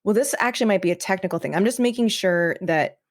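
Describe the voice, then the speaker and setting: A woman's voice: medium pitch, quick pace. A woman speaking as a podcast guest in a casual interview, informal and tentative in how she talks. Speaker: podcast guest, audio drama writer.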